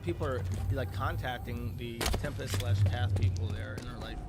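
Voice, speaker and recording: A man streaming casual, rambling commentary in a halting, sarcastic way with some swearing. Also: mid-range voice, steady tone. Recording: loud game music and gunfire behind. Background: video game music, gunfire and vehicles.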